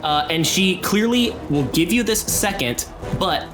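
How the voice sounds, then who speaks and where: A man's voice: high-pitched, lively intonation, a little slow, music in the background. A man running a tabletop game, explaining rules and narrating scenes in a conversational way. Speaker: game master.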